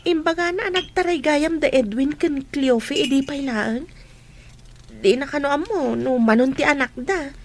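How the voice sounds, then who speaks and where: A woman's voice: high-pitched, unhurried, a little hiss or hum. A woman playing a cheerful, respectful young medical intern in an Ilocano-accented radio drama. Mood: cheerful, polite.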